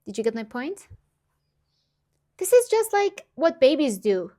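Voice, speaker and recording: A woman's voice: high-pitched, sing-song, a little slow, mellow. Upbeat young Iranian woman vlogger. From Iran. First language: Persian. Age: 20s. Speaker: language-learning vlogger. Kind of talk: direct-to-camera tutorial vlog.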